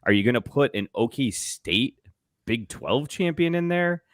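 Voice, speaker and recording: A man's voice: medium pitch, animated, unhurried, no echo, studio quality. A man bantering casually about sports as a show panelist, ranting in a sarcastic, profane, exaggerating way.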